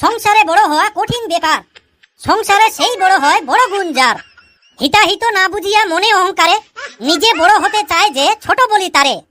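A man voicing an eager, show-off cartoon schoolboy who recites confidently in a pitched-up voice. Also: animated, a little fast, sharp tone, no room sound, full character voice. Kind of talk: comic cartoon recitation and storytelling.

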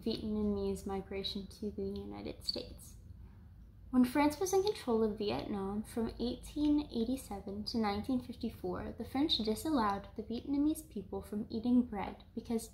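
A young woman from South Louisiana hosting a home cooking show, explaining each step calmly and then narrating some food history. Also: medium pitch, a little hiss or hum. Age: young adult. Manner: calm, instructional. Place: home kitchen, indoors. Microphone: camera mic, across the kitchen. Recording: echoey kitchen room sound.

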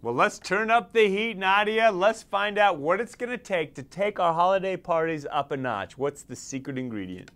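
A man's voice: high voice, lively intonation, moderate pace, few pauses, fairly bright tone. A middle-aged man hosting a TV interview show, introducing and questioning his guest with enthusiasm. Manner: enthusiastic, showy. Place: TV studio.

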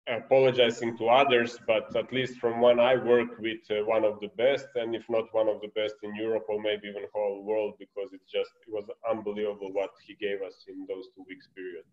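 A man's voice: on the low side, flat delivery, fairly quick, few pauses, a little mellow. A man in his thirties with a Serbian accent, a basketball coach speaking conversationally as a guest on a muffled, echoey video call. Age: 30s. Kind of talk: conversational online presentation. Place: bright room indoors by a window.

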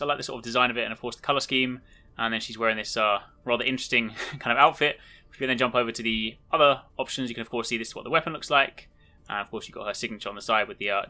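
A man's voice: medium pitch, bright, forceful tone. A man giving an upbeat, casual and enthusiastic video walkthrough as a gaming host.

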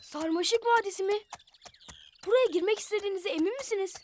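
A woman's voice: very high voice, quick pace, a little mellow, slight background noise. A woman voicing a cautious young child in a cartoon, with a worried, questioning manner. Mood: wary. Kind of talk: cartoon voice acting.

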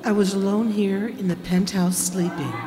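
A middle-aged woman playing a frightened woman in an old-time radio horror drama, dramatic and halting. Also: mid-range voice, fairly even intonation, crowd noise, noisy background, natural voice. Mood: uneasy, hushed. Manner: dramatic, halting, dread-filled. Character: frightened woman recounting an eerie night terror. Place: seated on stage in a community hall. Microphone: boom stand microphone. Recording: echoey hall, loud music behind.

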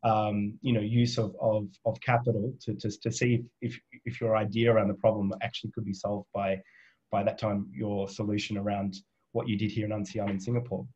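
A man's voice: fairly low pitch, level tone, brisk, a little mellow, breathy edge. A man from Australia hosting a video panel, summing up and asking long questions with lots of ums. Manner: sums up, asks long questions with many fillers. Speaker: host and moderator. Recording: dull, lo-fi video call audio.

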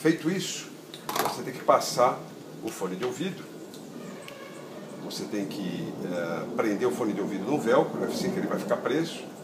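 A middle-aged man with a Brazilian accent giving an informal hands-on tutorial step by step, haltingly, with repeated words and little check-in tags. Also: fairly low pitch. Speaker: product demonstrator. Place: outdoor patio table in a garden. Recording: roomy echo, steady ambient noise.

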